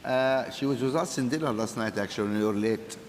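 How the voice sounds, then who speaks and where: A man's voice: medium pitch, a little fast. A man moderating a live event in an informal style, managing questions from the floor. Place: large hall with audience. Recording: echoey hall with background noise.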